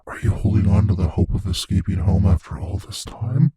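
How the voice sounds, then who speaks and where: A very husky, breathy monster, acted by a man speaks low and close in an ASMR roleplay. He is gleefully sadistic, taunting the listener slowly and savoring every cruel word. The sound is dry and intimate. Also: animated, warm tone, no echo. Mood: gloating, cruel amusement. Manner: slow, taunting, menacing, savoring words.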